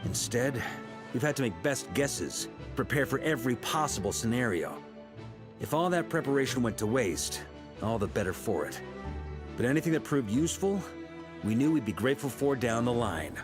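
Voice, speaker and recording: An actor playing a seasoned, confident knight commander laying out a plan. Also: male voice, mid-range voice, normal speed, natural voice. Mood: assured. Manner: steady, assured, commanding. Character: seasoned male knight commander, calm planner. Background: game music.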